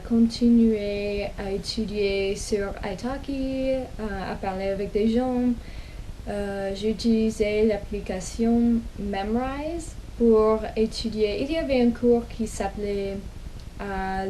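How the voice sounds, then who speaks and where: Young American vlogger, non-native speaker, unhurried and halting, soft warm voice. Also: female voice, mid-range voice, a little hiss or hum, rough recording. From United States. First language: English. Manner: slow, hesitant, many pauses and restarts. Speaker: language vlogger. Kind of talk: casual personal storytelling in learned French.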